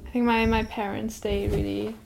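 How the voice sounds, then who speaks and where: A woman from Germany whose first language is Vietnamese, recalling a childhood memory in a soft, reflective way. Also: medium pitch, little pitch movement, moderate pace, creaky, a little hiss or hum, poor audio. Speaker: interviewee. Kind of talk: personal storytelling.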